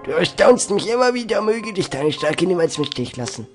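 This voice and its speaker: A man's voice: sits high, very animated, normal speed, voice pushed up. A man voicing a worried older village woman in a role-playing game, reading her lines in a raised voice. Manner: raised voice, reading dialogue boxes aloud. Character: kindly old village mother, worried woman. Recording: faint game music behind.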